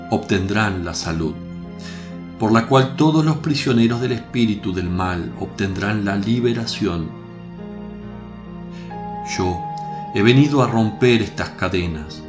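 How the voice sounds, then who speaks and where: Solemn, declamatory holy preacher for radio drama; unhurried delivery over prominent music. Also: male voice, fairly low pitch.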